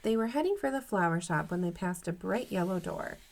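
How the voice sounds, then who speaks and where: Female storyteller, primary teacher type, expressive read-aloud for young children. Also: fairly low pitch. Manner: expressive, acts out dialogue, pauses to comment to pupils. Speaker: teacher reading aloud to third graders.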